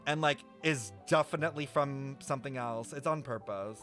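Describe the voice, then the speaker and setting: A man's voice: medium pitch, moderate pace, fairly bright tone, faint music behind. A man livestreaming his reaction to a game, dramatic and gushing, with heavy slang, swearing and repeated exclamations.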